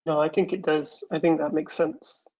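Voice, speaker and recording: A woman's voice: low-pitched, steady tone, normal speed, darkish tone, poor audio. A woman moderating an online session briefly thanks the speaker and hands over to the next one.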